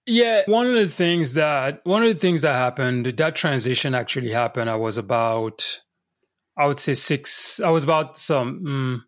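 A man from Cameroon, a guest on a podcast, telling a personal story in an unhurried, thoughtful way. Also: medium pitch, dry recording, clean studio sound. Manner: unhurried, thoughtful, frequent restarts. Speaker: podcast guest, life coach. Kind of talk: casual interview storytelling.

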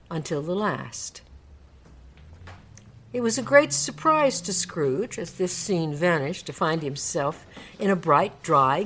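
A woman's voice: fairly low pitch, a bit bright. A woman narrator reading a classic story aloud in a dramatic scripted storybook style. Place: radio studio.

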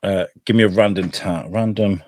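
A man's voice: sits low, steady tone, normal speed, darkish tone, no room sound, studio quality. A man from the UK hosting a casual podcast chat, playful and laughing often. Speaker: podcast host. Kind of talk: casual video-call chat.

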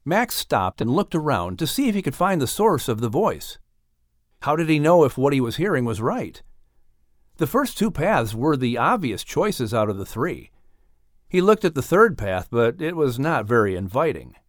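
A male audiobook narrator with a mid-pitched voice tells a children's story. His delivery is animated and dramatic, with careful phrasing and a dry studio sound. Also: normal speed, dry recording. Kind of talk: expressive scripted storybook narration.

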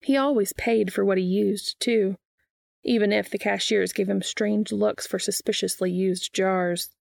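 A woman's voice: mid-range voice, steady tone, unhurried, vocal fry, darkish tone, no room sound, studio quality. A woman reading fan fiction aloud as narration, in the style of an audiobook.

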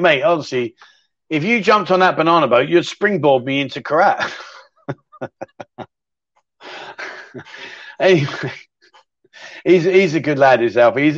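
A man's voice: a little high, no room sound, lo-fi sound. A middle-aged man, casually hosting a livestream chat show and answering viewer questions in a rambling, matey way.

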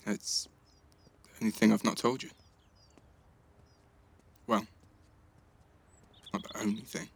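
Husky, easygoing teenage lad. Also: male voice, fairly low pitch, steady tone, slow pace, pauses often, full character voice. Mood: warm, affectionate. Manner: casual, slangy, teasing. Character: easygoing teenage lad, teasing, affectionate college student. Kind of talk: naturalistic audio drama dialogue.

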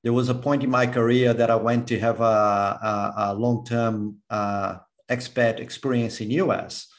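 A man's voice: medium pitch, steady tone, unhurried. A man from Brazil hosting an interview, often repeating words as he rephrases his questions. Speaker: interview host. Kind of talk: conversational interview.

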